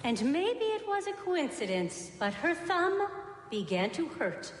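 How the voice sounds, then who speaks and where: A woman reading a dark ghost story expressively as part of a live radio drama. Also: very high-pitched, sing-song, a little slow, full character voice. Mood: uneasy, intent. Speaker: actor in an ensemble reading. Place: large town hall stage. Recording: echoey hall, live, loud music.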